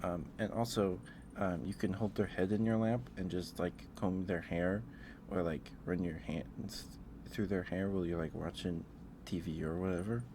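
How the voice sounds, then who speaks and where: Deep-voiced man in a casual ASMR livestream. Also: fairly even intonation, normal speed, background noise. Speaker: livestream host.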